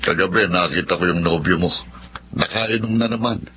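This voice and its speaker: A man's voice: deep voice, moderate pace, sharp tone, muffled recording, a little hiss or hum. An actor playing a stern, concerned middle-aged father in a radio drama, admonishing in a fatherly way. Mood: stern, worried. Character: stern, concerned father of grown twin daughters.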